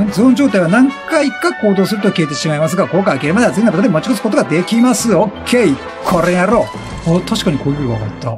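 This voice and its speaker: A man with a high-pitched voice gives a running game commentary. He is chatty and reactive, swinging widely in pitch and firing off repeated exclamations. Game music plays behind him. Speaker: gaming streamer. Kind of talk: excitable let's-play commentary, reading game text aloud. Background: video game music.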